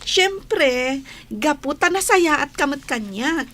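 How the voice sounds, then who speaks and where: A woman acting a village woman in a radio comedy drama, speaking slowly and expressively. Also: sits high, animated, pushed and bright, slight background noise, exaggerated voice. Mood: friendly, chatty.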